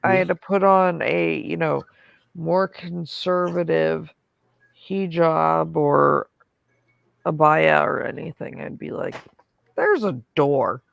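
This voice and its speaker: A woman hosting a casual stream chat, telling stories and quoting herself in quick asides. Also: deep voice, creaky, dull audio. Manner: chatty storyteller, quotes herself in asides. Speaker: stream host.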